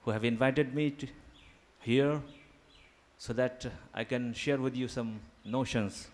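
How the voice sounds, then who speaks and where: A man whose first language is Urdu, giving a formal seminar lecture in a deliberate academic manner, pausing with fillers. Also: mid-range voice, steady tone. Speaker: guest lecturer. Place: seminar hall.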